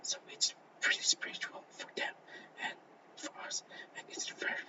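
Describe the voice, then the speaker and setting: A man who has lost his voice, whispering a class presentation over slides in a halting way with many restarts. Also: recorded from a distance, dull audio. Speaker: student presenter.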